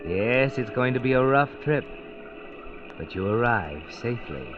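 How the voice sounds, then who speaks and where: Male actor as ominous noir narrator, lively intonation, insinuating, dramatic, old-time radio, music underneath. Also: medium pitch, measured pace, lo-fi sound, natural voice. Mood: urging. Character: ominous omniscient mystery narrator, needling the heroine. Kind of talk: 1940s radio noir narration in second person.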